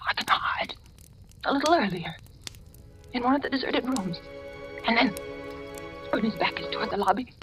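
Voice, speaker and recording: An actress playing a terrified, trapped woman in an old-time radio horror drama, speaking in a breathy, faltering, melodramatic way. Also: fairly high pitch, animated, normal speed, pauses often, close to an everyday voice. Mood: secretive dread. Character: terrified, trapped woman guest fearing for her life. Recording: vintage radio drama, music underneath.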